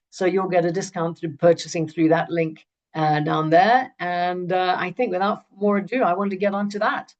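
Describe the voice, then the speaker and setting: A woman from Zambia hosting an online webinar, enthusiastic but halting, with many restarts. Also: on the low side, a little mellow. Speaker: webinar host.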